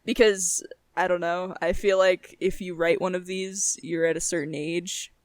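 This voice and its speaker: A woman's voice: on the low side, fairly even intonation, moderate pace. A young woman co-hosting a podcast in casual chat, with a blunt, informal way of talking. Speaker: podcast co-host.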